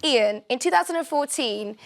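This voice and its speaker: Panelist, woman from Afghanistan, higher voice, forceful, interrupts. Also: expressive, moderate pace, vocal fry, a crowd in the background. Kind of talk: heated studio debate. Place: TV studio.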